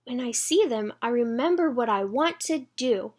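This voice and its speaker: A woman's voice: on the high side, expressive, normal speed. A woman voicing an earnest, nervous young girl ballerina in a storybook reading. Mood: determined.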